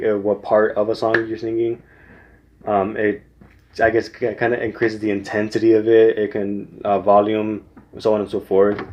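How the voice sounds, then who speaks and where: A man's voice: fairly low pitch, highs cut off. A young man giving casual singing tips, rambling with many restarts and fillers. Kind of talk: casual spoken tutorial.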